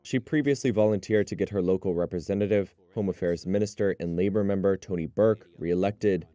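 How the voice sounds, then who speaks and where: Low mellow guy reading a news report, steady and even. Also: no echo. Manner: measured, even delivery. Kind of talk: scripted news narration.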